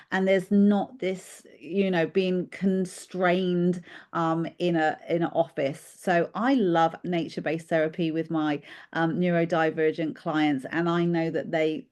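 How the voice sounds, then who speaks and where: A British-born woman, a children's therapist, talking in a podcast interview and telling her story with many "um"s and a reflective manner. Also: on the low side. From United Kingdom. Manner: warm reflective storytelling with frequent fillers. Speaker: guest therapist.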